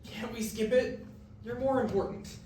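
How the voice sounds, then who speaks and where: A man's voice: extremely high pitch, fairly even intonation, normal speed, warm tone, slight background noise. A young man playing a struggling, grieving and defensive college student in a staged reading of a musical. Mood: casual, pleading. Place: echoey room, staged reading. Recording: very echoey, distant, piano over voice.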